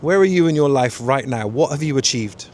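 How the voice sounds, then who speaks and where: A man speaks at an average pitch. He is a coach leading a conversational interview, asking questions and adding his own reflections. There is faint noise in the background. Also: animated, fairly quick, darkish tone.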